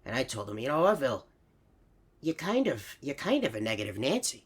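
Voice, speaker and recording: Man playing a husky, rambling old hippo. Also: medium pitch, very animated, fairly quick. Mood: exasperated, animated. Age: older. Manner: rambling, stammering, easily sidetracked. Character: rambling, nostalgic elderly male hippo storyteller. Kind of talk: meandering character monologue for a horror fan game.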